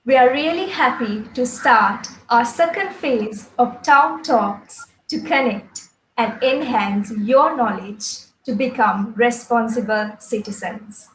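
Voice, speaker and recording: A woman's voice: a little high, slow pace. Sri woman moderating in an echoey room. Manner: polite, formal, reads guest bios. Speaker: webinar moderator. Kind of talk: formal scripted introduction. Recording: echoey room with faint background noise.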